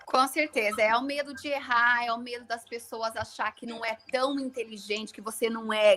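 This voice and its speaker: A woman's voice: a little high, noisy background. A Brazilian woman in her thirties, a nurse, explaining her studies in a casual chat, often stopping to restart her sentences. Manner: hesitant, many restarts while explaining. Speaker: interview guest, nurse. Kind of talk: casual live-stream chat.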